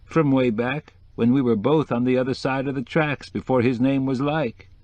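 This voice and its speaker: A man's voice: medium pitch, fairly even intonation, moderate pace, a little mellow, no echo, pitched above the performer's own voice, close to an everyday voice. A man voicing a witty, flamboyant decorator in an audiobook, sprinkling French phrases into hip slang. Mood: wry. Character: flamboyant, witty male interior decorator, sly and gushing. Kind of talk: acted audiobook dialogue.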